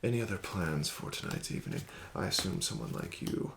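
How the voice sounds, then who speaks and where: A man playing a casino card dealer in an ASMR roleplay, speaking softly with whispered phrases and formal, courteous, gently coaxing patter. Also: sits low, wildly swooping pitch, brisk, vocal fry, background noise.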